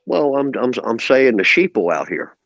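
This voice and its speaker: A man's voice: medium pitch, very animated, moderate pace, gravelly, fairly bright tone, no echo, muffled recording. A man in his fifties, calling into a livestream and ranting about politics in a preachy, emphatic way. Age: 50s. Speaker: caller on a livestream. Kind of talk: casual political rant.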